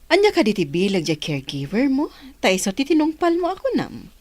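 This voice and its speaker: A woman acting as a friendly, philosophical doctor in an Ilocano radio drama, teasing and expressive. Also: medium pitch.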